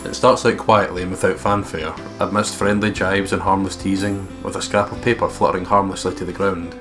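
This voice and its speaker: Male let's play host, deep voice, reading story narration over guitar game music. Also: little pitch movement, a little fast, fairly bright tone. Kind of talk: reading visual novel narration aloud.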